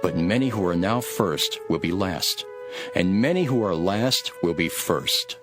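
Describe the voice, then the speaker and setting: A man playing a calm, authoritative religious teacher in a dramatized scripture, solemn and measured. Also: deep voice. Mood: solemn, assuring. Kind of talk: dramatized scripture reading with storytelling. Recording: background music under the voice.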